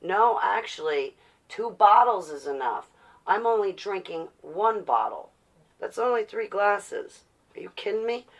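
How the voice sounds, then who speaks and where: A woman's voice: medium pitch, expressive, measured pace. A woman in her forties with an American accent talking casually and bluntly, rambling in a defiant way with rhetorical questions. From New Jersey, United States. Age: 40s. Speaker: vlogger. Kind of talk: casual rambling personal talk.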